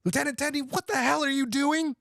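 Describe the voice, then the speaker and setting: A man playing a brash, cocky starship commander in an animated sci-fi table read, with emphatic, exclamatory delivery. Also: very high voice, a little fast, vocal fry, no echo, clean studio sound, full character voice. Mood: exasperated.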